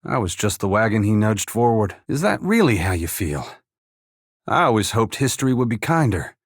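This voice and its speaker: An actor playing a humble, weary dead farmer-saint in a fantasy video game, plain-spoken yet solemn, with a gravelly, husky voice. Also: male voice, mid-range voice, fairly bright tone, no echo, clean studio sound. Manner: plain-spoken rustic phrasing mixed with solemn archaic oratory. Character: humble dead farmer-saint, once god-touched, wry, earnest and weary. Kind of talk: dramatic fantasy game dialogue.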